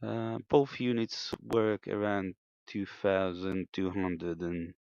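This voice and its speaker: A man giving an unscripted, step-by-step technical demonstration in a hesitant, halting way, in a slightly muffled room recording. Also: deep voice, flat delivery, vocal fry, a little mellow, no room sound. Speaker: amateur radio operator demonstrating equipment.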